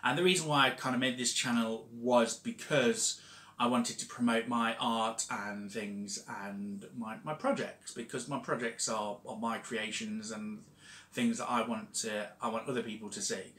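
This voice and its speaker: A man's voice: medium pitch, fairly even intonation, measured pace, fairly bright tone. A young man casually chatting on a home-studio vlog, rambling with many fillers and restarts. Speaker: vlogger and self-published author. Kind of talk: casual talking-head vlog. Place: home studio room with shelves. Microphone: fixed camera at desk distance. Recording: echoey room.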